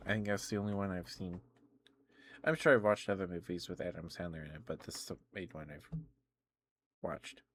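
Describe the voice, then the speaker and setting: A man streaming ASMR close to the microphone, chatting casually and sometimes whispering, in a halting, rambling way. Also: low-pitched, fairly even intonation, a little hiss or hum. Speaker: ASMR livestreamer. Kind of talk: ASMR livestream, casual chat with whispered passages.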